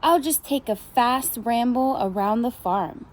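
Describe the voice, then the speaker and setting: A woman's voice: a little high, a little slow, voice pushed down, natural voice. A woman voicing a small, sleepy and stubborn male hedgehog from a storybook, speaking earnestly and insistently. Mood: eager.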